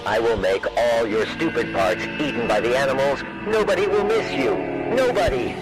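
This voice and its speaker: A man's voice: mid-range voice, lively intonation, normal speed, over loud music, lightly acted, rough recording. A man voicing a menacing, hateful spokesman villain in an analog horror video, taunting and threatening. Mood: furious, hateful. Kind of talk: scripted analog horror monologue.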